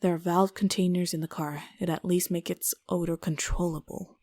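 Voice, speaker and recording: A woman in a fan game dub voicing a brisk, short-tempered female scientist who sighs and mutters in exasperation. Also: lowish voice, steady tone, dark tone, no echo. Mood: weary.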